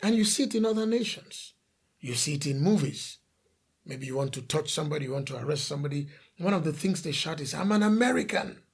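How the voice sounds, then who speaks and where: A man's voice: a little high, animated, quick pace, creaky, slightly rough. A man from The Gambia preaching a sermon as a pastor, emphatic, asking rhetorical questions and repeating lists of phrases.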